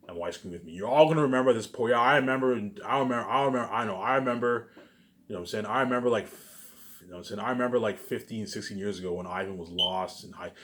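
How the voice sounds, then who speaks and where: A man with a somewhat low voice talks very fast to his online viewers. He rambles and boasts, swearing freely and repeating his phrases, and his delivery jumps into louder bursts before settling back down. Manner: repetitive, restarting phrases over and over; profane, boastful ranting with sudden loud bursts.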